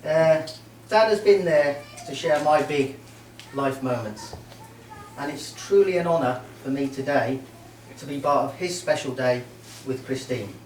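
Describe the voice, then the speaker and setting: Middle-aged best man, roomy hall. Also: on the high side. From Merseyside, England. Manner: warm, joking, halting with many ums. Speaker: best man giving a wedding speech. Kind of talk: wedding speech. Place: wedding reception room. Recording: roomy hall, mic far off, faint noise.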